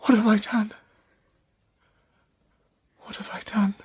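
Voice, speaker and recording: An actor playing a weak, guilt-ridden British husband in a radio drama, husky, strained and defensive. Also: male voice, high-pitched, level tone, slow pace. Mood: anguished. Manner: strained, anguished. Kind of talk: emotional radio drama acting.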